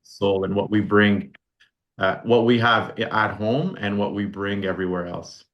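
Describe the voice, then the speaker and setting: Relaxed man in his forties, fillers. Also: fairly low pitch, normal speed, highs cut off. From Canada. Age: 40s. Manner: relaxed, rambling with many fillers and restarts. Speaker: podcast guest, brand founder. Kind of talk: casual interview chat.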